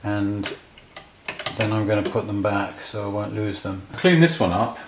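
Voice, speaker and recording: A man's voice: fairly low pitch, flat delivery, measured pace, background noise. A middle-aged man thinking aloud in a casual, matter-of-fact hands-on tutorial while working at a bench. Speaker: repair hobbyist demonstrating a restoration. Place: indoors at a repair workbench. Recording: roomy, clear handling clatter and clicks.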